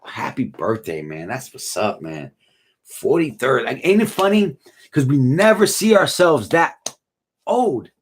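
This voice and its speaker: Casual live-stream host, man, 40s, husky and tired, lively swings in pitch, slangy. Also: measured pace. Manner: laid-back slangy, drifts from mumbling to excited. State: tired, yawning. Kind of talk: casual live chat reading comments.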